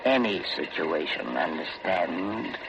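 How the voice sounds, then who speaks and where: An actor playing a stern, solemn ghost who speaks slowly and with grave command, in 1940s radio horror style. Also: male voice, sits low, very animated, gravelly, exaggerated voice. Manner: slow, grave, commanding, deliberate. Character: stern, solemn male ghost walking between two worlds. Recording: muffled, very noisy vintage radio broadcast.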